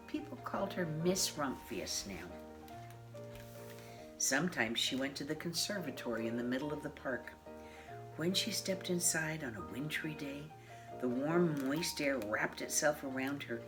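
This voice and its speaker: A woman of mature years reading a children's picture book aloud in a measured, expressive way. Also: very deep voice. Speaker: storybook reader. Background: classical piano music.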